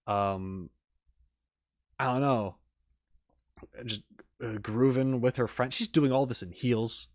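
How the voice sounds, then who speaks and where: A man talks through his reactions as a casual video host, in an average-pitched voice recorded close and dry. He rambles haltingly, interrupting himself and leaving thoughts unfinished before picking them up again. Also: fairly even intonation, no echo. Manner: halting, self-interrupting, trailing off mid-thought; casual swearing. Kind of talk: casual rambling game-trailer commentary.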